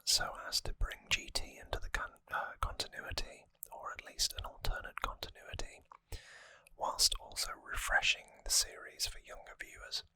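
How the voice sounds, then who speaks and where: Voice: pushed and bright, dry recording. An ASMR host whispering close to the microphone in a musing, conversational commentary style. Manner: musing, conversational trivia talk. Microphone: close microphone, dry sound.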